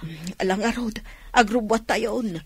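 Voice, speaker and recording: Soothing older mother, medium pitch. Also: unhurried, husky, no room sound, clean studio sound. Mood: concerned, soothing. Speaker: radio drama actor. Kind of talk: radio drama acting.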